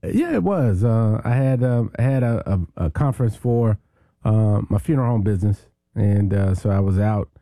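A man who is a mayor speaks as a guest on a radio talk show. His voice sits low and sounds very dark and mellow, close and dry. He talks in an unhurried, rambling, easygoing way. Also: no room sound. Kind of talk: casual radio interview.

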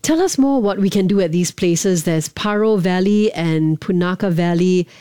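A woman's voice: lowish voice, moderate pace, warm tone, clean tone, no room sound, clean studio sound. A woman from Singapore with a Singaporean English accent, hosting a casual interview and prompting her guest. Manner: prompting, conversational. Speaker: podcast host.

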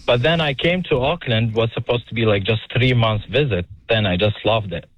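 A young man from Saudi Arabia, calling in to a radio show, talking earnestly and haltingly. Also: medium pitch. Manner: earnest, halting, restarts phrases. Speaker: caller. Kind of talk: casual phone-in chat. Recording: muffled phone-in line.

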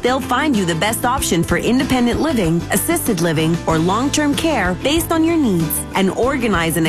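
Woman radio ad voice, lively high read over music. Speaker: ad announcer. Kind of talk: brisk commercial read.

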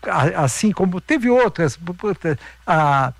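A man's voice: high voice, sing-song, slow, vocal fry, no echo. A middle-aged man with a Brazilian accent telling anecdotes in a casual interview, emphatic and repeating himself. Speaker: interview guest, veteran marathon runner.

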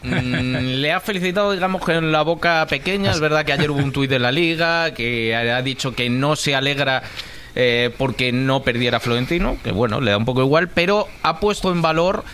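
A man's voice: mid-range voice, normal speed, fairly bright tone, a little hiss or hum. A man reporting sports news to a talk panel in an informative, slightly wry way.

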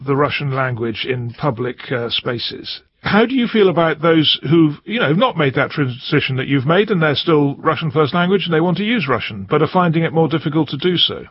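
A man hosting a radio interview, asking questions in a conversational way with fillers and a gravelly, husky voice. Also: on the high side, lively intonation, a little fast, hardly any pauses, dull audio. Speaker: radio host.

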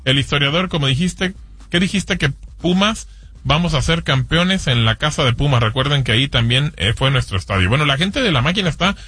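A Mexican man hosting sports talk radio, reading listener messages and calmly defending his takes. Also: a little high, brisk, slightly rough, dry recording.

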